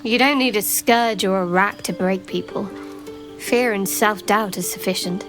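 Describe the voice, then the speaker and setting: A woman voicing a guarded, knowing adventurer companion in a fantasy game, with a cool, deliberate delivery. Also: medium pitch, over music, close to an everyday voice. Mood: cool, warning. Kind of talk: scripted game dialogue.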